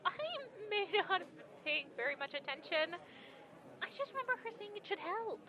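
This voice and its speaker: A woman's voice: very high voice, lively intonation, a little fast, background noise. A woman playing a nervous, flustered character in an audio drama, hesitant and stammering, in dull lo-fi sound. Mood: nervous, reluctant. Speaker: voice actor.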